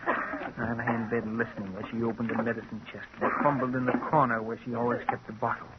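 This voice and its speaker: A man's voice: medium pitch, level tone, fast, lightly acted. An actor playing a henpecked, scheming middle-aged husband in a vintage radio drama, intense and brooding as he narrates and talks. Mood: brooding, confessional. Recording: muffled, hissy vintage radio recording.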